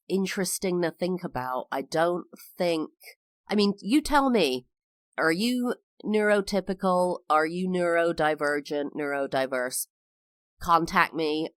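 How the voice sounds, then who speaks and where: A woman's voice: sits low, a bit bright, no echo. A woman in her thirties with an English accent touched by American, hosting a personal podcast monologue with careful enunciation and a sing-song lilt. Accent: English with a slight American tinge. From United Kingdom. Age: 30s. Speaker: podcast host.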